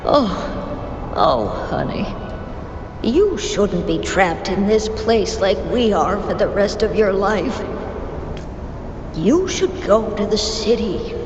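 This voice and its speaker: A woman voicing a kindly, gentle, motherly villager in a video game, encouraging a younger person. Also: medium pitch, sing-song, takes it slowly, long pauses, background noise. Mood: tender concern. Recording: echoey, slightly muffled game audio with music.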